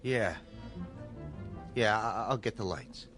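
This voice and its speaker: An actor playing a plain-spoken museum guard in a radio drama. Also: male voice, on the low side, lively intonation, slow pace, a bit bright, soft background music. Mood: matter-of-fact.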